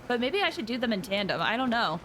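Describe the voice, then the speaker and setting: A chatty woman game streamer with a fairly high voice. She rambles informally to her viewers, with game music clearly playing behind her. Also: very animated, fast, rough recording. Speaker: game livestreamer. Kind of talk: casual chat with viewers while gaming.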